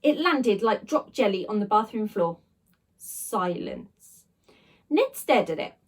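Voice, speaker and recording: A woman reading a children's story aloud like a teacher, with dramatic, expressive delivery and emphatic sound words. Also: medium pitch, slow pace. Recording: voice a little distant from the microphone.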